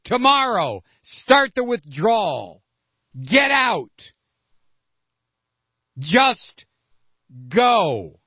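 A man's voice: very high voice, sing-song, drawn-out words, long pauses, husky, no room sound, highs cut off. A man hosting a political call-in radio show, talking in a blunt, sarcastic, combative way. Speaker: radio talk show host.

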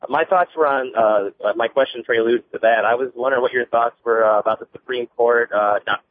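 Fast-talking male caller on a phone line. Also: mid-range voice, a little hiss or hum. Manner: rambling, full of filler words.

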